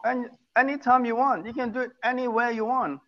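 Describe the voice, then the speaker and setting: A man's voice: very high voice, fairly even intonation, fairly quick. A man in his sixties, talking casually and emphatically, often repeating his points. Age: 60s. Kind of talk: casual chat. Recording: muffled lo-fi audio.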